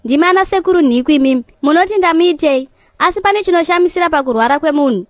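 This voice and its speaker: A woman acts as a feisty Zimbabwean wife in an animated comedy. Her voice is high and quick, recorded dry with no room around it. She is combative and scolds as she talks. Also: no echo. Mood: indignant. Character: feisty, quarrelsome wife of a male patient.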